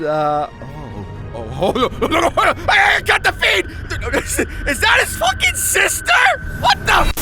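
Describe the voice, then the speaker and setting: A man hosting an anime review with energetic, scripted comedic narration, delivered in a hammy, exclamatory manner. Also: sits high. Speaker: YouTube anime reviewer and host.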